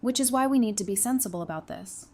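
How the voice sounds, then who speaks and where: A woman's voice: medium pitch, animated, fast talker, darkish tone. An actress playing a sensible, affectionate, plain-spoken woman in an audio drama. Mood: frank. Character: frank, teasing, sensible woman, affectionate with her sweetheart.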